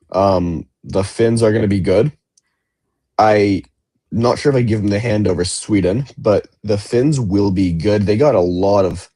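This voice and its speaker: Dark-voiced man in casual hockey chat. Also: on the low side, dry recording. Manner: loose, rambling with many fillers. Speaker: podcast co-host.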